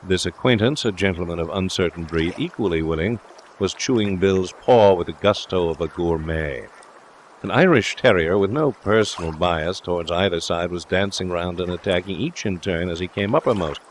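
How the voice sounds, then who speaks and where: A man's voice: low voice, normal speed, a little hiss or hum. A man reading scripted literary narration as an audiobook narrator.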